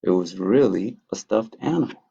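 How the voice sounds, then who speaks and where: A man reading picture books aloud to children as a library storyteller, expressive and adding his own asides about the pictures. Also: fairly low pitch, moderate pace, vocal fry, breathy edge. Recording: dull lo-fi audio.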